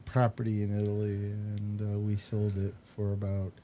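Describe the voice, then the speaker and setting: A man hosting a casual chat interview, speaking in a halting, unhurried way. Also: on the low side, completely flat delivery, warm tone. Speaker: show host. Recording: noticeable background noise.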